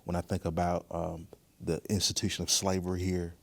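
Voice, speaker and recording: A man giving thoughtful interview answers as a museum guest, speaking in a measured, earnest and deliberate way. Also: low voice, monotone, creaky.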